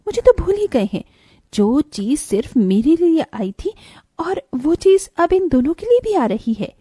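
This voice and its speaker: A woman's voice: high voice, sing-song, measured pace, dark tone, dry recording, voice pushed up. A woman voicing a jealous, bossy young elder stepsister in a cartoon story, sneering and scolding as she speaks. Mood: resentful. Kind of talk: cartoon bedtime story voice acting.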